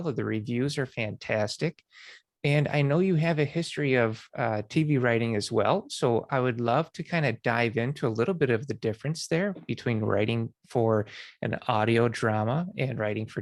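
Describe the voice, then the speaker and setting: A man's voice: medium pitch, no echo, clean studio sound. A man hosting an interview podcast, chatting with a guest in an encouraging, affirming way. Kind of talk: podcast intro and interview chat.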